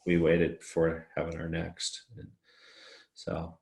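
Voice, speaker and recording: A middle-aged man with a deep baritone voice, chatting in a relaxed, rambling way as a podcast guest and laughing often. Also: slow, creaky, echoey room. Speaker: guest singer-songwriter. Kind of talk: casual interview chat.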